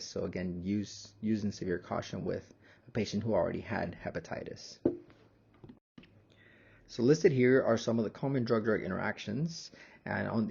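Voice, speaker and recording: A young man narrates a slide lecture as a student presenter. His voice is low and creaky, with a relaxed, dark tone. He explains in a measured way. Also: highs cut off.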